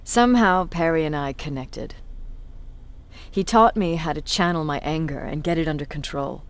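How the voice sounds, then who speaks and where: A woman's voice: deep voice, moderate pace, smooth. A woman playing a battle-hardened resistance commander in a video game, with a blunt, authoritative manner. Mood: wry, reminiscing.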